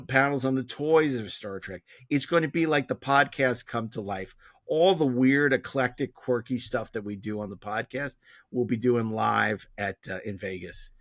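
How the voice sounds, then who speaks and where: A man's voice: medium pitch, fairly bright tone, dry recording, clean studio sound. A man hosting a podcast, chatting casually and jokily in a rambling way as he promotes upcoming events. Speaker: podcast host.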